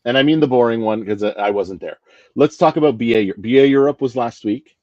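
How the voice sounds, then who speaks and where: A man's voice: mid-range voice, fast talker, darkish tone, dry recording. A man hosting a podcast, chatting casually and joking, with many restarts and fillers. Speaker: podcast host. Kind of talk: casual interview chat. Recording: dry, dull lo-fi sound.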